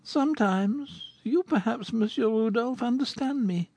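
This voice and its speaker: A man's voice: very high-pitched, a little slow, darkish tone, no echo, pitched above the performer's own voice, full character voice. A man voicing a sorrowful sixteen-year-old girl in an audiobook, with a plaintive, sighing delivery. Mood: wistful. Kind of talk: audiobook character reading.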